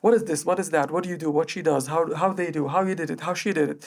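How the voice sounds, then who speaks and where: A man speaking as a guest in a casual panel conversation, animated and peppered with "like" fillers. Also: on the high side. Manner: rapid, animated, frequent "like" fillers. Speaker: podcast guest.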